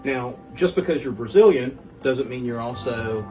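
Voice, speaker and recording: A man's voice: medium pitch, moderate pace, far from the mic, faint music behind. Man explaining mortgage options. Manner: steady, explanatory, filler-heavy. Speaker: mortgage specialist guest. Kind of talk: explaining in an interview.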